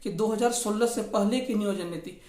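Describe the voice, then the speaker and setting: A woman's voice: mid-range voice, fairly quick, dark tone. A woman from explains the news informally as a channel host, often circling back over her phrases. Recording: echoey, roomy sound.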